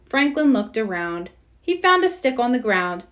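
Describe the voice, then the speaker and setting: A woman narrating a children's storybook aloud in an expressive read-aloud style. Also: a little high, moderate pace. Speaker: storybook reader.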